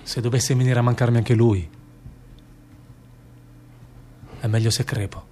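An actor playing an anguished, devout public prosecutor in a theatrical radio drama, speaking solemnly and earnestly with a husky voice. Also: male voice, medium pitch, fairly even intonation, a little fast, dark tone, lightly acted. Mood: subdued, despairing.

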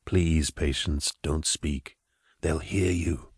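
A man voicing a polite, refined middle-aged male telepath who speaks in a hushed, measured way. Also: a bass voice, steady tone, husky, no room sound, clean studio sound, pitched below the performer's own voice, heavily acted. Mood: calming.

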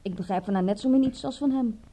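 An actress playing a flippant, defensive young woman in a radio drama, with a soft, husky voice. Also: on the high side, fast, a little hiss or hum, natural voice. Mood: teasing, evasive.

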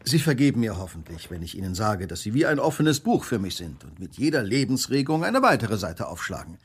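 An actor playing an urbane, self-assured master detective in a radio drama, speaking formally and theatrically with some condescension. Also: male voice, lowish voice, very animated, brisk, slightly rough. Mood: suave, knowing. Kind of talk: German radio drama acting.

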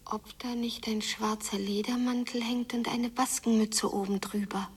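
Breathy, yearning, hurt young girl. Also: a little high, flat delivery, moderate pace, recorded from a distance. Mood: hurt disbelief. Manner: intense, often dropping into breathy whispering. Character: hurt, yearning girl abandoned by her father. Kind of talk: radio play inner monologue.

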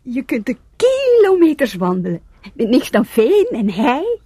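Een vrouw die in een hoorspel een verlangende, aandringende vrouw speelt. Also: female voice, high voice, wildly swooping pitch, a little slow, mellow, breathy edge, no echo, exaggerated voice. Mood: dreamy, eager. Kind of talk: Dutch radio play acting.

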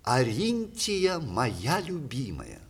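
Husky, animated, ironic older gentleman playwright. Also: medium pitch, very slow, full character voice. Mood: teasing, courtly. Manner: ironic, theatrical, expressive delivery. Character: witty, teasing aging male playwright, theatrically courtly and ironic. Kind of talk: 1960s Soviet stage radio play acting.

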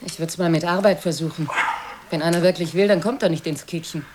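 A lowish female voice from an actress playing a middle-class wife in a radio drama. She is weary and proper, and nags with bitter, indignant reproach. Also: fairly quick, background noise, natural voice.

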